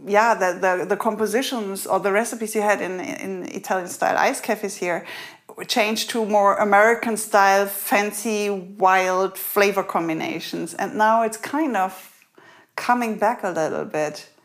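A woman's voice: mid-range voice, fairly even intonation, a little slow. Woman explaining things thoughtfully. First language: German. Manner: explanatory, searching for words. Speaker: interviewer. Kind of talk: casual interview conversation.

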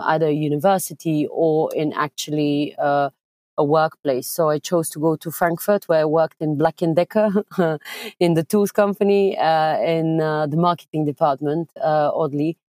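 A young woman from Bulgaria, speaking directly as a podcast guest, with frequent filler pauses. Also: deep voice, fairly even intonation, warm tone, dry recording. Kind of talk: conversational interview.

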